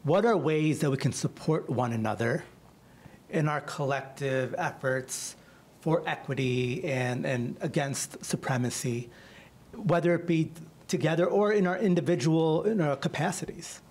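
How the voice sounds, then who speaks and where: A man's voice: fairly high pitch, a little slow, darkish tone. A man in his thirties, a civil rights attorney co-moderating a studio panel on a handheld mic, earnest and questioning. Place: studio stage.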